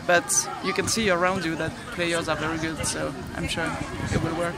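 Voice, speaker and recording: A woman's voice: low voice, steady tone, normal speed. A middle-aged woman who manages football academies, answering questions in an outdoor media interview. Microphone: handheld reporter microphone. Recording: loud crowd and music behind.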